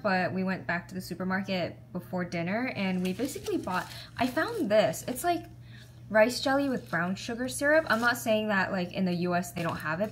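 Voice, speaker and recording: A young woman in her twenties, chatting casually and upbeat in a travel vlog on a lapel mic. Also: mid-range voice. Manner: chatty, upbeat, rambling with many "like"s. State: sniffling after a shower. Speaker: travel vlogger. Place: hotel room, indoors.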